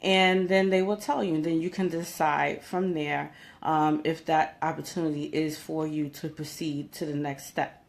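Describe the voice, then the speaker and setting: Woman with a lowish voice giving informal advice. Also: fairly even intonation, moderate pace, creaky. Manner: conversational, emphatic, checks in with "okay?". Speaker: career coach giving job interview tips. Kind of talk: informal advice vlog, talking to viewers.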